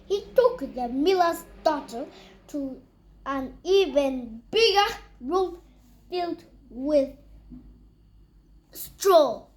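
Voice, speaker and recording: High-pitched childlike voice, very slow pace, halting read-aloud, children's fairy tale storytelling. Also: expressive. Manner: halting, repeats and corrects words while reading.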